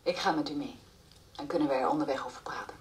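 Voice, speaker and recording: A woman's voice: low-pitched, fast talker, close to an everyday voice. An actress playing a composed policewoman in her twenties, patiently questioning in a radio drama. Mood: calm, probing.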